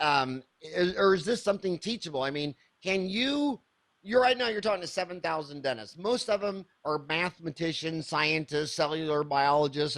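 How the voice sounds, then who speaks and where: A man's voice: a little high, fairly bright tone. A man in his fifties hosting an interview podcast, enthusiastic and rambling, with many restarts. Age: 50s. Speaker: podcast host. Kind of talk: casual interview.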